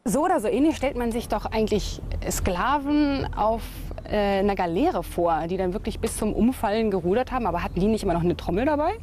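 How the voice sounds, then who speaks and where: A woman's voice: mid-range voice, lively intonation, a little fast, a little mellow, a little hiss or hum. A woman presenting a documentary on location as a reporter.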